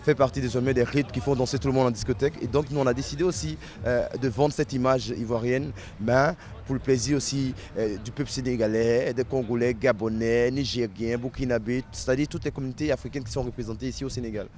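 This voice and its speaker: Man, documentary interviewee, mid-pitched, explains things at length with lots of hesitations; outdoors with faint street traffic behind. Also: steady tone, fast, hardly any pauses, darkish tone. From Ivory Coast. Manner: explanatory, with frequent hesitation fillers. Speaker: member of the Ivorian community in Dakar.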